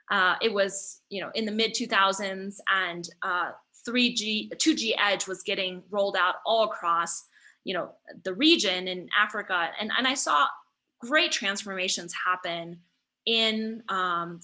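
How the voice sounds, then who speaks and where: A woman's voice: mid-range voice, pushed and bright. A woman in her thirties, born in China, answering interview questions thoughtfully over a remote call, with frequent fillers and restarts. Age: 30s. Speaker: guest, startup founder.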